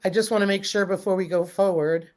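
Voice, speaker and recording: A snuffly woman hosts a casual livestream at a middle pitch. She greets viewers by name and gives practical, step-by-step advice in an easy, friendly way. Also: fairly even intonation, fast, a little mellow. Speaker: host.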